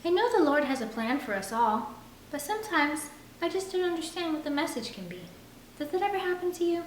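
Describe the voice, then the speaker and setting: A woman voicing a gentle, devout teenage girl in a novel, earnest and questioning. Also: high voice, very animated, fairly quick, voice pushed up. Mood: earnest, pensive. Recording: roomy echo with faint background noise.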